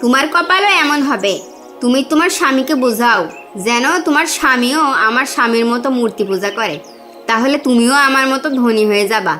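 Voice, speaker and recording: High-pitched boastful neighbour wife played by a woman. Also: fairly quick, bright, forceful tone, faint music behind. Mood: boastful, coaxing. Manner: showy, coaxing. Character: boastful, well-off neighbour wife, slyly persuasive. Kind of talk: cartoon dialogue.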